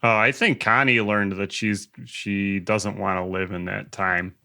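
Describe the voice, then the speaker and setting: A man with a fairly low but bright voice co-hosts a podcast. He chats casually, and every word comes out crisp and clear. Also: flat delivery, a little slow. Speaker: podcast co-host. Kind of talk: casual chat.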